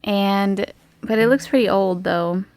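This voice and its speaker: A woman's voice: mid-range voice, a little slow, darkish tone, dry recording. A woman acting as game master, narrating an improvised tabletop game in a casual, conversational way.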